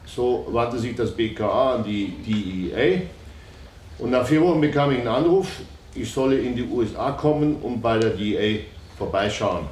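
A man's voice: medium pitch, moderate pace, a little mellow, lightly acted. An actor voicing a male police informant, giving short matter-of-fact answers under questioning in a documentary reenactment. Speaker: informant testifying in court. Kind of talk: documentary reenactment of court testimony. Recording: echoey room with faint noise.

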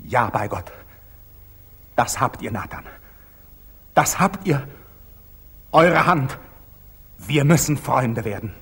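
An actor playing a proud, impetuous young knight in a 1940s German radio drama, with fervent, declamatory stage diction. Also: male voice, mid-range voice, animated, takes it slowly, long pauses, fairly bright tone, slightly rough, exaggerated voice. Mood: moved.

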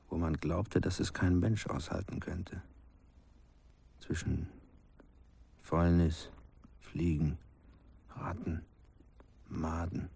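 An actor playing a grim villager recalling cruel childhood events, deliberate and hushed, in a radio play. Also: male voice, low voice, heavily acted. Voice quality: gravelly, husky, breathy. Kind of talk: slow dramatic radio play storytelling.

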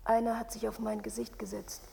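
A woman's voice: a little high, level tone, fairly quick, gravelly, mellow, big-room sound, slight background noise. An actress playing a wounded, bitter young woman in a radio drama monologue. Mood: bitter, agitated. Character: young woman recounting a brutal ordeal, bitterly laughing.

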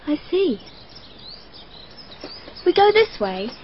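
A woman's voice: very high voice, sing-song, slow pace, exaggerated voice. An eerie, precocious 12-year-old girl. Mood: dreamy. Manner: earnest, matter-of-fact, odd. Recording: dull lo-fi archive radio, faint hiss.

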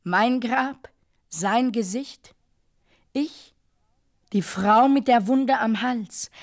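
Radio drama: grave female narrator, mid-range, deliberate and drawn-out phrasing. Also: mid-range voice, darkish tone. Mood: grave, searching. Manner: deliberate, measured, with weighted pauses. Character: solemn female narrator pondering a violent, dreamlike image. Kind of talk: slow literary monologue in a radio drama.

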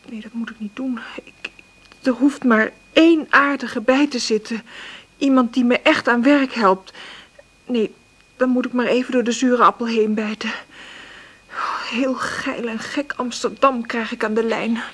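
An actress playing a nervous, polite, hard-up job seeker in a radio play, hesitant and well-spoken, with a husky voice. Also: female voice, on the high side, fairly even intonation, unhurried. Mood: anxious, pleading. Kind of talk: radio play dialogue and monologue.

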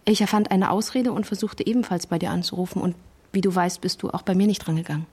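A woman's voice: fairly low pitch, fast, a little mellow, husky. An actress playing a hurt, bitter wife who confronts her partner in a naturalistic radio play, controlled but accusing.

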